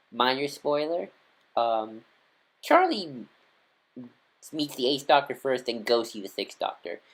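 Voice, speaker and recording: Man, mid-pitched voice, casual vlogger, unscripted review, hesitant, rambling, self-correcting. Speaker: vlogger reviewing audio dramas. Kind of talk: casual unscripted fan recommendation talk.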